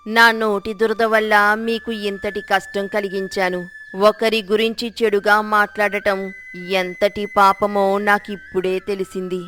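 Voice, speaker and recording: A woman acts out a sly older village gossip from a moral tale. She is theatrical and wheedling, full of exclamations. Her pitch sits in the middle, over faint music. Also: flat delivery, measured pace, pushed and bright. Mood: pleading, remorseful. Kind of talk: acted moral story dialogue.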